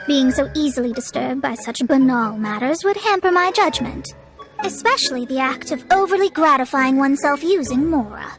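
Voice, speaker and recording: A woman's voice: high voice, animated, moderate pace, music in the background. A woman playing a proud, pompous young female astrologist in a video game, speaking in formal, theatrical phrases. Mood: haughty. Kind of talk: scripted video game acting.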